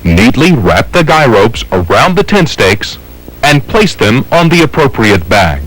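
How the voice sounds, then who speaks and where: A man's voice: medium pitch, big pitch swings, unhurried, fairly bright tone, poor audio. A man with an American accent reading scripted instructional narration in a formal, methodical, step-by-step way. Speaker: training film narrator.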